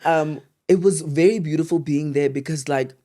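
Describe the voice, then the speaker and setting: A woman's voice: sits low, moderate pace, mellow. A woman with a South African accent co-hosting a podcast, chatting in an informal, slangy way. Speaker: podcast host. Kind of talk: casual chat.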